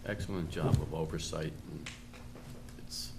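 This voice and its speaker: A man explaining a financial report item by item at a public meeting, in a halting way, as a town finance official. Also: extremely low pitch, fairly even intonation, creaky. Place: town board meeting room. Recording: noisy public meeting room recording.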